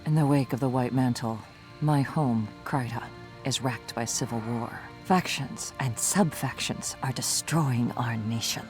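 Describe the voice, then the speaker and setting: An actress playing an earnest, resolute human necromancer in a fantasy video game, speaking in a measured, solemn way. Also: female voice, rumbling low, a little mellow. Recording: faint music underneath.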